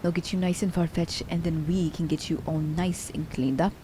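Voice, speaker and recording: A woman's voice: fairly low pitch, level tone, moderate pace, warm tone, a little hiss or hum, lightly acted. A woman playing a sly, commanding assassin who teases her captive werewolf in an ASMR roleplay, with a haughty, teasing manner. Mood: cool, scheming.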